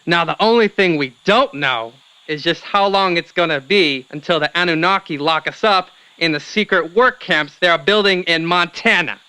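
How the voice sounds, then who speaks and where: Male UFO historian for radio comedy-drama, acted: bright, clear tone, didactic, earnestly paranoid. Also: fairly high pitch, rough recording. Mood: insistent, paranoid. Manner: measured, didactic. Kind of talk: radio pundit explanation.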